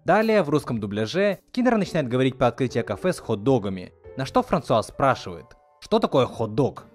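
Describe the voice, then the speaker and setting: A man's voice: medium pitch, very animated, fairly quick. A man narrating a scripted video essay as a host, with a commentator's delivery. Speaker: video host reviewing film dubbing. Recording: voice-over with music underneath. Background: background music.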